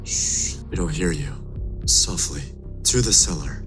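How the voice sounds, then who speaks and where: A man playing a grave, protective father in an audio drama, giving hushed, terse commands. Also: rumbling low, lively intonation, takes it slowly, over music, heavily acted. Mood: quiet, tender resolve. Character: protective, grave father leading his family to hiding.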